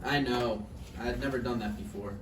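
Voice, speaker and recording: A man's voice: medium pitch, a little fast, close to an everyday voice. A man playing a wry, self-deprecating hundred-and-three-year-old on a deathbed in a play table read. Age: older. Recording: echoey room, distant mic, faint noise.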